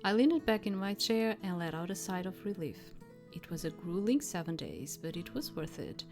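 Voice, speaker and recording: A woman's voice: lowish voice, sing-song, moderate pace, over music. A woman streamer reading visual novel text aloud as narration, with casual asides.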